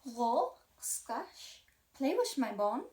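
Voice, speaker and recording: Lady doing a snooty spoiled boy dog from a kids book, bossy and fussy, highish voice, echoey room. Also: very animated, drawn-out words, mellow, heavily acted. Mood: haughty. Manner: imperious, fussy. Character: haughty, pampered male dog, newly refined and demanding. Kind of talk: read-aloud children's storybook.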